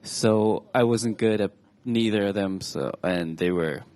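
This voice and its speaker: A young man in his twenties from Thailand speaks on a stage talk show, recounting his own story to the crowd in front of him. His voice sits a little low, and his talk is chatty and halting, full of restarts. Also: a little mellow. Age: 20s. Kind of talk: personal storytelling to an audience.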